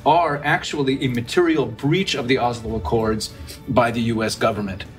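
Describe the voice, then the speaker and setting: A man joining an interview to add a measured, precise argument. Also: medium pitch, steady tone, music in the background. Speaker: interview panelist. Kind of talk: interview commentary.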